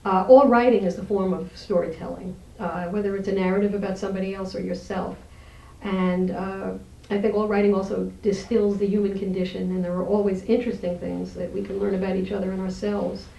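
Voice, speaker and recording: A woman's voice: medium pitch, level tone, fairly quick, vocal fry, warm tone. A woman professor as an interview guest, speaking in an articulate, earnest, explanatory way. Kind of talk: thoughtful interview conversation. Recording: roomy, distant microphone.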